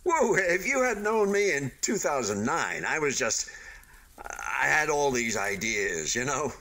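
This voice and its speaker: An actor in a radio play voicing an abandoned, unfinished hotel building, wistful and defensive, chatting in hesitant, earnest philosophical musings. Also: male voice, medium pitch, sing-song, measured pace, bright, forceful tone, heavily acted. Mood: animated, wistful. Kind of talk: radio play acting, conversational monologue.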